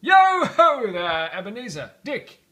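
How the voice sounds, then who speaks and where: A man voicing a jolly, hearty old gentleman employer, calling out exuberantly in a storybook reading. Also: big pitch swings, slow pace, heavily acted. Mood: jovial. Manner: booming, exuberant calls. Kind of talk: dramatic storybook read-aloud.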